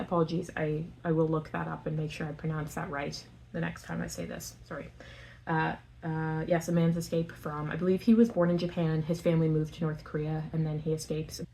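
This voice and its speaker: A woman with a fairly low voice talks casually to her camera about books from the floor of her home. She rambles in a friendly way, leans on filler words and often stops to correct herself. A faint hiss of background noise sits under her voice. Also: a little mellow, rough recording. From Canada. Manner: chatty, filler-heavy, corrects herself on names. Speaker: book vlogger.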